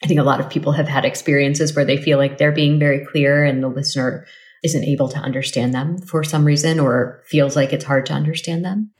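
Woman linguist explaining stuff casually, lots of "sort of", deep voice, echoey room. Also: fairly even intonation, a little fast. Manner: thoughtful, casual explaining with hedges. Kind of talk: interview answers.

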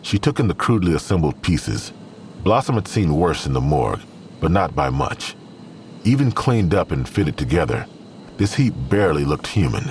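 A man's voice: a bass voice, sing-song, moderate pace, darkish tone, a little hiss or hum. A man narrating a scripted crime audio drama as its storyteller.

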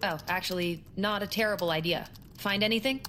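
A woman's voice: mid-range voice, a little fast, a bit bright, slight background noise, natural voice. An actress playing a tough, defiant ex-Marine woman with a dry, assertive way of talking in an audio drama. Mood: wry, commanding.

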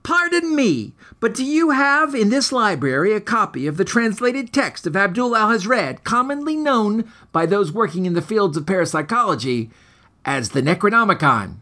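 A man's voice: high voice, very animated, normal speed, pushed and bright, pitched above the performer's own voice, exaggerated voice. A man playing an earnest, overly polite seeker of occult books in a story, with formal, eager wording.

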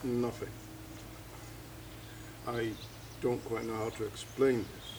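Actor as resigned tired man, mid pitch, hesitant, audio drama, distant mic, clear background noise. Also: level tone, slow pace. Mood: weary, hesitant. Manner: hesitant, world-weary. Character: weary, resigned man bearing bad news. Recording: distant from mic, noisy ambience.

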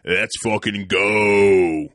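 A man's voice: on the low side, steady tone, very slow, fairly bright tone, soft background music. A man hosting a podcast in casual chat, laid-back, swearing freely and laughing often. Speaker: podcast host.

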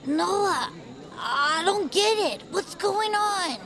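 A woman voicing an excitable, dreamy young hero-worshipper in a family audio drama, with an eager, dramatic manner. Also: very high voice, takes it slowly, a crowd in the background, heavily acted. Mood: let down. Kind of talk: acted audio fiction for families.

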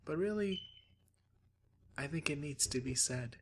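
A man hosting a podcast, giving a relaxed, wry introduction to the episode. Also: fairly high pitch, a little slow, background noise. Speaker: podcast host.

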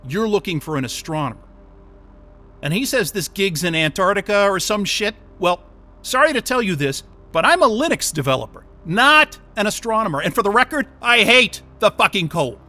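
An agitated captive techie in an audio drama, high-pitched for a man and sharply tense. He is sarcastic and defiant, with anger and fear pushing at his words. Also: very animated, moderate pace, pushed and bright, exaggerated voice. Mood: bitter sarcasm. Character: angry, sarcastic, panicked male software developer held captive.

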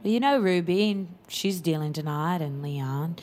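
A woman's voice: fairly low pitch, measured pace, darkish tone, slight background noise, lightly acted. An actress playing a sassy, folksy, friendly woman, teasing and effusive, in an audio drama. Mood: gossipy, cautioning.

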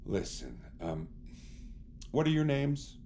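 An actor playing a smarmy, theatrical celebrity who poses as a savior, speaking in a pompous, evasive, grandiose way in an audio drama. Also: male voice, mid-range voice, huge pitch swings, slow pace, heavy background noise, exaggerated voice. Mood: probing, solemn.

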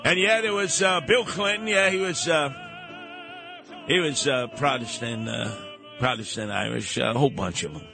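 A man's voice: medium pitch, moderate pace, creaky. A man with a New York accent hosting a talk radio show, delivering a combative, emphatic, slangy monologue. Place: radio studio. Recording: music playing behind the voice.

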